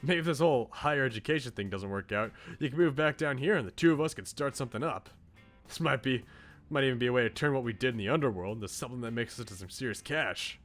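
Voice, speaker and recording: A man's voice: mid-range voice, quick pace, fairly bright tone, faint music behind, close to an everyday voice. Man acting a brash, loyal young bro. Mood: upbeat. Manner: casual, slangy, earnest.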